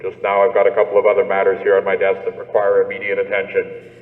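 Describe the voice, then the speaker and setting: A male actor as a stolid police sergeant on a thin phone line in a radio thriller. His lowish voice is placating yet brusque and patronizing, with a long echo around it. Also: monotone, quick pace. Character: unhurried, brusque, dismissive police desk sergeant. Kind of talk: suspense radio play acting. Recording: tinny phone-like band, echoey hall.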